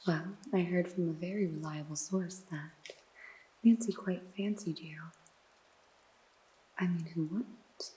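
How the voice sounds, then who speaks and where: A woman voices a teasing noir detective who interrogates in soft, close whispers. She takes her time, and her voice sits a little low. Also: unhurried, long pauses, lightly acted, poor audio. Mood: teasing, probing. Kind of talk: ASMR noir roleplay.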